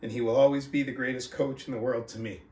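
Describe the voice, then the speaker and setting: Male, medium pitch, motivational reader and coach, emphatic delivery, deliberate phrasing, echoey room sound. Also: steady tone, a little mellow. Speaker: book club host and reader, motivational coach. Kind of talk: audiobook-style reading aloud and motivational talk. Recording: roomy, echoing sound.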